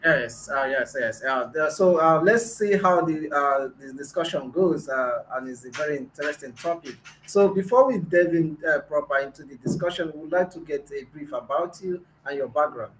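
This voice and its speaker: A man's voice: a little high, normal speed, few pauses, fairly bright tone, slight background noise, poor audio. Hesitant male interview host. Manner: hesitant, many fillers. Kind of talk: online interview.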